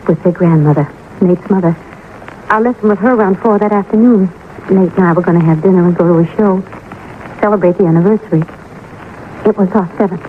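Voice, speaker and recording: Actress as a subdued young widow, tinny radio recording. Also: female voice, lowish voice, lightly acted. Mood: quietly composed. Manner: measured, hesitant, restrained. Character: subdued young widowed mother, sad but composed. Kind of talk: 1950s radio drama acting. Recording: noisy narrow-band old radio recording.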